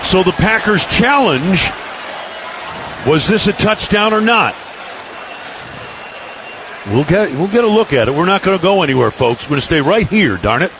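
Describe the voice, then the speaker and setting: A man's voice: sits high, moderate pace, pauses often, crowd noise. A man calling live football play-by-play for radio in punchy, clipped phrases over a noisy stadium broadcast feed. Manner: punchy clipped phrases, building excitement on plays. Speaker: play-by-play announcer.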